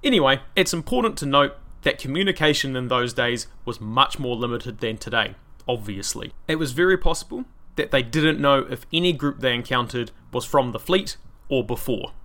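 A man with a New Zealand accent narrates a scripted history podcast in a bright, forceful voice. He sits at a middle pitch for a man. Now and then he drops in dry, wry asides. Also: lively intonation, normal speed. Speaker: podcast host.

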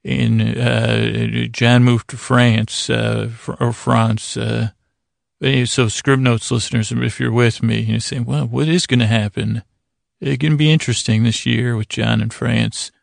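A man hosting a bedtime podcast in a meandering, halting monologue, gently silly and self-deprecating, with words repeated and thoughts drifting off into tangents. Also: mid-range voice, level tone, dry recording, clean studio sound. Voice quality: gravelly, creaky. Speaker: podcast host. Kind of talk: rambling bedtime monologue to help listeners sleep.

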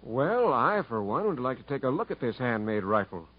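A man acting a curious, open-minded prison commission member in a 1950s radio drama, with a husky voice. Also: medium pitch, sing-song, normal speed. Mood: curious, formal. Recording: tinny, echoey 1950s radio broadcast.

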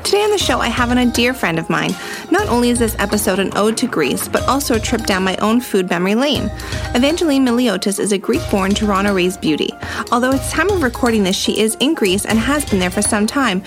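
Woman podcast host, bubbly and gushy, chatty with a friend, middle pitch. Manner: bubbly, gushing, laughs often. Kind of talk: casual podcast chat.

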